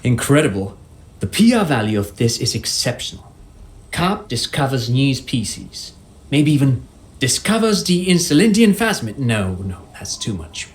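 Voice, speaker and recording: A man's voice: mid-range voice, big pitch swings, moderate pace, close to an everyday voice. An actor playing an erudite, eager policeman who loves explaining things, in a precise lecturing manner for a video game. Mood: excited.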